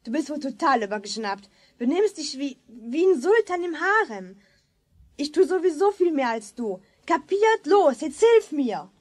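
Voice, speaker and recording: A woman's voice: sits high, very animated, measured pace. A female performer playing a bossy, exasperated schoolgirl older sister who scolds in a children's radio play.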